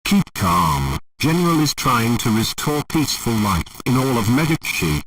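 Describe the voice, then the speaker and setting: A man's voice: medium pitch, very animated, moderate pace, distant mic, very noisy. A man reading a formal scripted emergency broadcast announcement in a stiff, impersonal, official manner.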